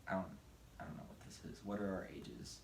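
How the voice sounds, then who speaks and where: A man's voice: sits low, expressive, fast, gravelly, a little mellow. A man in his twenties chatting casually and earnestly as he answers viewer questions as a husband. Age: 20s. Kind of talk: casual couple Q&A chat. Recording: echoey room with background noise.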